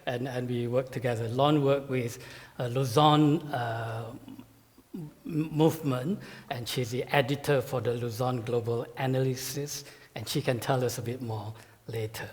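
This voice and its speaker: A man giving a conference talk to pastors as a guest speaker, with a measured, halting delivery full of fillers and repeated words. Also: mid-range voice, fairly even intonation, darkish tone. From Malaysia. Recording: reverberant hall sound.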